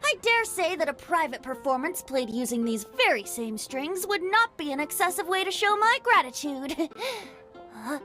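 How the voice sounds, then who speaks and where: Bright bouncy bard lad, woman voicing him, theatrical and playful, soft music behind. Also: high-pitched, very animated, moderate pace, heavily acted. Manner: theatrical, flowery, giggly. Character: cheerful young male wandering bard, playful. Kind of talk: video game voice acting.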